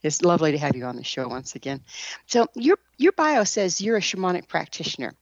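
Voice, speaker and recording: Low, animated woman hosting radio. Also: a little fast, no room sound, dull audio. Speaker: radio show host. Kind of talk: scripted introduction and interview.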